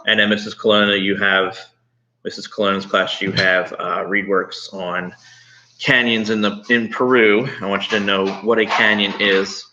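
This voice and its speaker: A low, forward-sounding male voice on a slightly dull recording. He speaks as a grade school teacher, talking pupils through things in a conversational, instructive way. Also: level tone, normal speed, sharp tone. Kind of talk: informal online read-aloud and assignment talk to pupils.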